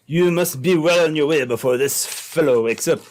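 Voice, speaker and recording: An actor playing a sly, falsely polite villain in an old-time radio drama. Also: male voice, fairly high pitch, normal speed, close to an everyday voice. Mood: conspiratorial. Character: sly French trapper villain feigning helpfulness.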